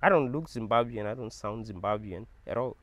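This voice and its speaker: A young man, telling a personal story to camera in an animated, emphatic way and swearing freely. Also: lowish voice, brisk, no echo. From South Africa. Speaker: vlogger.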